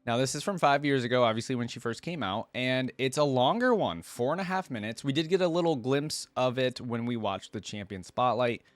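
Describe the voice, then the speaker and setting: A man's voice: medium pitch, lively intonation, fairly quick, hardly any pauses, dry recording. A man hosting a reaction video with casual, informal commentary, thinking aloud as he goes. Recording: music playing behind the voice. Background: game music.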